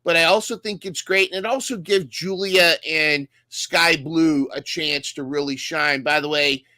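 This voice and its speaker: A man's voice: fairly high pitch, fairly even intonation, unhurried, bright, forceful tone, dry recording. A man co-hosting a casual recap podcast, talking enthusiastically and often restarting his sentences. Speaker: podcast co-host.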